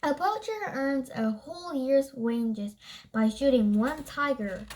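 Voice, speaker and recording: A child reading a nonfiction book aloud, haltingly, stumbling over and rereading words. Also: sits high, a little mellow, a little hiss or hum, poor audio.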